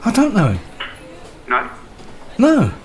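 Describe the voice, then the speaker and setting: An actor playing a bewildered, impatient young British husband in a naturalistic radio drama, blunt and questioning. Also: male voice, sits high, huge pitch swings, takes it slowly, a little hiss or hum, full character voice. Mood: puzzled. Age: young adult.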